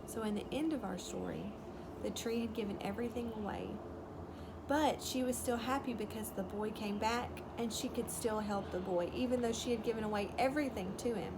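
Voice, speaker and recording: A woman's voice: on the high side, fairly quick, heavy background noise. A woman reading a story aloud to young children as a teacher would, explaining and asking simple questions.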